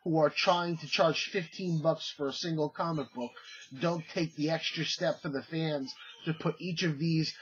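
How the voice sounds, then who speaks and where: A man's voice: on the high side, breathy edge, lo-fi sound. A man with a cold, chatting casually as he shows off comics he bought, with lots of fillers and restarts. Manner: rambling, many fillers and restarts. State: congested, under the weather. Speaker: comic collecting vlogger. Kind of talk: casual show-and-tell haul video.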